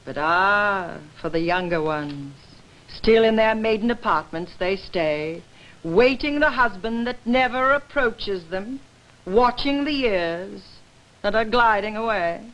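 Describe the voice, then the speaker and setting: Slow, forlorn, declaiming wife. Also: female voice, mid-range voice, lively intonation, a bit bright, poor audio. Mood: mournful, indignant. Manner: declamatory, measured, rhythmic delivery. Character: forlorn, defiant wife lamenting war's cost to womanhood. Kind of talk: theatrical staged reading of classical verse.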